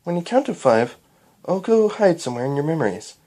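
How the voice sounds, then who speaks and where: A performer voicing a friendly, confident young female pony princess with a gentle, coaxing manner for a story. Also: mid-range voice, very animated, a little fast, voice pushed up. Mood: playful.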